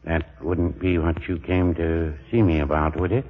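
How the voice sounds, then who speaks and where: A heavenly gatekeeper with a very deep voice and the dry, unhurried manner of a clerk who knows everything. It is 1940s radio comedy acting, on a dull old recording. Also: male voice, little pitch movement. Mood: stern, knowing. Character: dry, officious, all-knowing heavenly gatekeeper, male.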